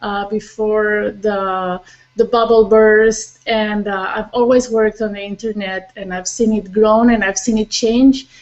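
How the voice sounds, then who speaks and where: A woman from Catalonia answering interview questions over a video call, steady and enthusiastic. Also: mid-range voice, measured pace, muffled recording. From Catalonia, Spain. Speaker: guest, internet professional.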